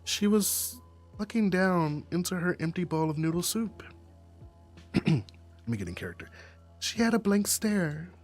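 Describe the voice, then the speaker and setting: A streamer reads a story aloud in an unhurried, very animated voice, dropping in sassy asides. The delivery is expressive and slangy, with faint music playing behind. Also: medium pitch. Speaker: streamer reading a visual novel aloud.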